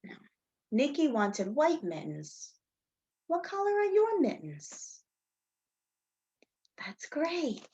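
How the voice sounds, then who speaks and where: A woman teacher doing a story time read-aloud for young children, with simple, deliberate phrasing and a sing-song, questioning delivery. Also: sits high, huge pitch swings, a little mellow, highs cut off. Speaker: teacher reading a picture book to young children.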